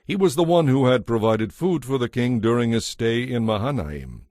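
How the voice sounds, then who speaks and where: A man narrating scripture from a script in a measured, formal manner. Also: medium pitch, animated, darkish tone, no room sound, studio quality.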